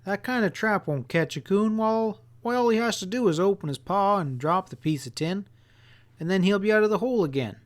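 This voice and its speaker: A man voicing an earnest, excitable country boy in an audiobook story, speaking in a folksy way. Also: sits high, fairly quick, voice pushed up. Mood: indignant. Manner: eager, protesting, folksy.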